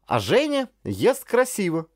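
A man's voice: sits high, huge pitch swings, unhurried, no echo, clean studio sound, pitched above the performer's own voice, full character voice. A man voicing a picky, disdainful young woman in an audiobook story, with an affected manner.

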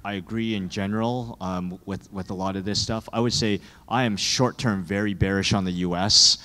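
A man's voice: lowish voice, steady tone, moderate pace, sharp tone. A man speaking as an investor on a conference panel, thoughtful and repeating words as he works toward his point, into a handheld mic. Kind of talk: panel discussion answer. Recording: long hall reverb.

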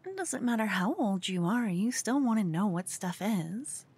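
A woman's voice: medium pitch, animated, normal speed, darkish tone. A woman voicing a cute, obsessed human fangirl in an ASMR roleplay, stammering, flustered and brazen, often slipping toward a whisper. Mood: nervous, defiant. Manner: stammering, breathy, dropping into whispers. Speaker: ASMR voice performer.

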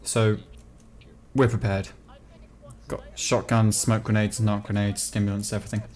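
Low voice guy hosting a roleplay game, talks to viewers then goes all polite and theatrical in character. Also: little pitch movement, normal speed, darkish tone. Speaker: roleplaying gamer and channel host.

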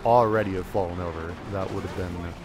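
A young man giving casual, excitable commentary while playing a video game, talking to himself through a headset mic. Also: low-pitched, brisk, background noise. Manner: excitable, self-mocking, talks to himself. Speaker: gaming YouTuber. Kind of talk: casual let's-play commentary.

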